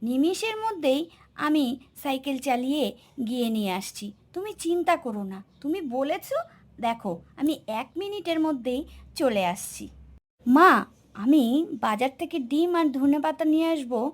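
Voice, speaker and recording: Woman acting as young son, family cartoon, high-pitched, talkative, exasperated, insistent. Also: sing-song, moderate pace. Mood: eager.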